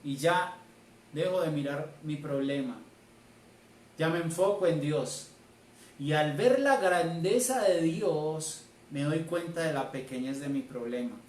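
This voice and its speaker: A man's voice: fairly high pitch, a little slow, a little mellow. Emphatic Colombian male preacher in a roomy space. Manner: emphatic, repeats key phrases. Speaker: church elder preaching. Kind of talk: sermon. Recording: roomy, distant mic, faint noise.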